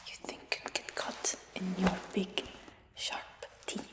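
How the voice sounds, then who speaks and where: A woman whispering softly as a curious human dragon hunter in a fantasy ASMR roleplay. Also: slow, lightly acted. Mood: hushed, curious. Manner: soft unvoiced whisper. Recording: roomy whisper with long reverb.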